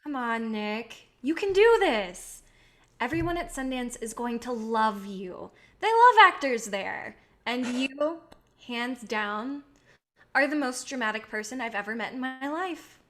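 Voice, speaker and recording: A woman's voice: on the high side, animated, measured pace, a bit bright. A woman playing an idealistic, patient filmmaker in a staged play reading, speaking in an earnest, coaxing way. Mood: encouraging.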